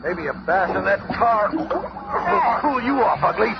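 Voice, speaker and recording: An actor playing a proud, scornful, brainy superhero leader who taunts haughtily in a 1970s radio drama. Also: male voice, high-pitched, big pitch swings, measured pace, creaky, heavily acted. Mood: taunting. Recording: muffled, very noisy old radio recording.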